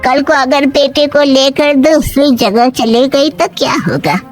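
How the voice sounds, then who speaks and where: A woman voicing a fretful, suspicious middle-aged mother-in-law in an animated moral story, with a grumbling, dramatic manner. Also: high-pitched, unhurried, faint music behind. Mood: worried, grumbling.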